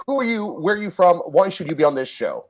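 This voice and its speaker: Lively bantering male comedy host. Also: high voice, fast talker, muffled recording. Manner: animated, jokey, leads the chat. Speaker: show co-host. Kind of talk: casual online comedy chat.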